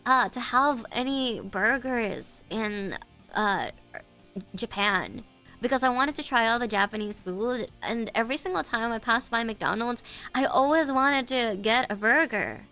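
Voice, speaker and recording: Congested, gravelly, giggly woman streamer. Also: fairly high pitch, pushed and bright. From United States. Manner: giggly, rambling, stumbles over words. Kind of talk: casual live-stream chat.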